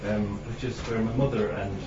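A man who grew up in Ireland introducing his poems at a reading, halting, with many pauses and fillers. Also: fairly low pitch, fairly even intonation. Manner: halting, many ums, clears throat. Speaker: poet giving a reading. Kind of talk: hesitant spoken introduction to a poetry reading. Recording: distant mic, dull sound, roomy with noise.

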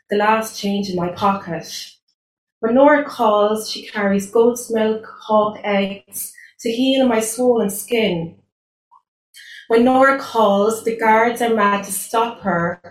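A woman's voice: medium pitch, fairly even intonation, slow. A woman with an Irish accent, a native Irish speaker, giving a live poetry reading with hesitant spoken introductions. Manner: hesitant, many fillers between readings. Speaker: poet. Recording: roomy distant live recording, faint noise.

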